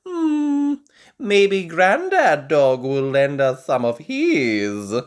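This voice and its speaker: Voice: mid-range voice, huge pitch swings, drawn-out words, clean tone, pitched above the performer's own voice, heavily acted. The narrator voicing a kindly, polite old grandmother pig in a children's storybook, with a coaxing, sing-song manner. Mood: thoughtful, pleased.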